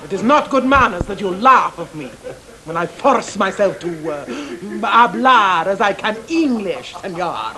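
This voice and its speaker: A woman playing a comic, haughty older madam in a stage drama, with a Spanish accent and halting, mannered broken English. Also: mid-range voice, big pitch swings, crowd noise, low-quality recording. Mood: offended. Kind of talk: theatrical stage-play acting.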